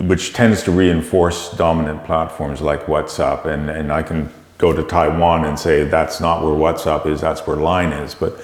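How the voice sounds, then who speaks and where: A middle-aged man with a Canadian accent, a company CEO answering interview questions in a measured, explanatory way, with frequent fillers and restarts. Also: extremely low pitch, flat delivery. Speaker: tech company CEO guest. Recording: echoey room with long reverb.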